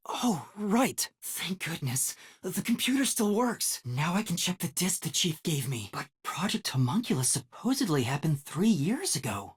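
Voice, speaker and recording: A soft, breathy boyish video game detective, earnest and timid, with a polite, hesitant way of speaking. His pitch swings widely from line to line. The recording is very dry and close. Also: no room sound, full character voice. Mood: determined, puzzled. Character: earnest, timid young male detective trainee. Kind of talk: English video game voice acting.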